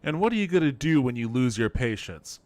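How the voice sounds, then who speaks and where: A man's voice: medium pitch, big pitch swings, fairly quick, noisy background. A man streaming a game with casual, improvised commentary, provocative and mock-serious, with some swearing. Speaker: streamer playing an AI game demo.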